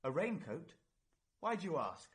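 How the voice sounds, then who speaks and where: An actor playing an anxious young murder suspect, flustered and defensive, in a vintage radio drama. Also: male voice, on the high side, very animated, measured pace. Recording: noisy vintage radio recording.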